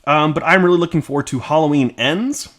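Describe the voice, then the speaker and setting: A man's voice: a little high, fast talker, a bit bright. A man co-hosting a podcast, talking casually and informally about movies. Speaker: podcast co-host.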